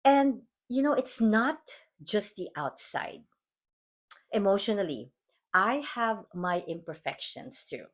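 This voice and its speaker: A woman from the Philippines with a Filipino accent, hosting her podcast in an earnest, measured, reflective monologue. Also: mid-range voice, lively intonation. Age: adult mom. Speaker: podcast host. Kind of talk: scripted motivational monologue.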